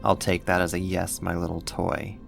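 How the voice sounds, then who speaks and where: A man's voice: deep voice, little pitch movement, vocal fry, faint music behind. A man playing a teasing, possessive, menacing villain kidnapper in an ASMR roleplay, drawing out his taunts with sighs. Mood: flirtatious, taunting. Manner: slow, drawn-out teasing with sighs.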